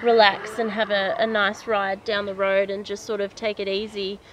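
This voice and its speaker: A young Australian woman chats in a casual, easygoing interview style. Her pitch stays very even at an ordinary height. She is recorded outdoors, with faint ambient noise behind her. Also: normal speed. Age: 20s. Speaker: amateur dressage rider being interviewed. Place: outdoors at wooded pony club grounds.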